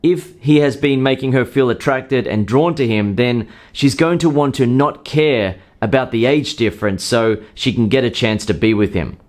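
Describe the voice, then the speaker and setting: A man calmly explaining dating advice in a scripted monologue, acting out short example lines now and then. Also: medium pitch, moderate pace, few pauses. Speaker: dating coach.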